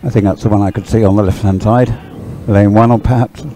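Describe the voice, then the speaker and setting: A man's voice: fairly low pitch, fairly even intonation, fast, mellow. A man giving chatty, unscripted live sports commentary, often hesitant and thinking aloud as he goes.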